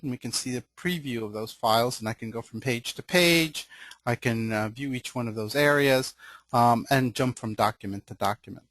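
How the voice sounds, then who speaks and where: Man presenting a software webinar, dry sound. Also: medium pitch, no echo. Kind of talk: software demonstration, explanatory and informal.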